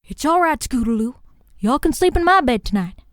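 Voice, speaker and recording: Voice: sits high, lively intonation, moderate pace, fairly bright tone, dry recording, studio quality, voice pushed up. A performer voicing a caring grown farm mare with a Southern US accent, soothing and folksy, in a story reading. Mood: reassuring.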